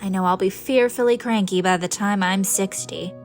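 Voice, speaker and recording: A woman playing a tender, fanciful ageing spinster with an expressive manner in a dramatised audiobook. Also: mid-range voice, normal speed, faint music behind, close to an everyday voice. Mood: weary, petulant. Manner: wistful, expressive.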